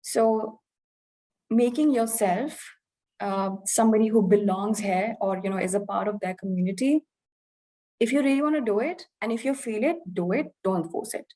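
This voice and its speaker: Indian-accented woman in her 20s, interview guest, earnest and thoughtful, lots of "you know"s, middle pitch. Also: moderate pace, warm tone. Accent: Indian English, softened by years in the UK. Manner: reflective, earnest, many fillers and restarts. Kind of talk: candid conversational interview.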